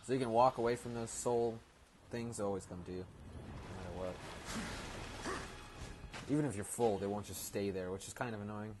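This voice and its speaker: Low-voiced man with a noisy recording. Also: normal speed, pauses often. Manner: informal, rambling, explanatory. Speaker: gaming video host. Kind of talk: casual let's play commentary. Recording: noisy home recording with game ambience.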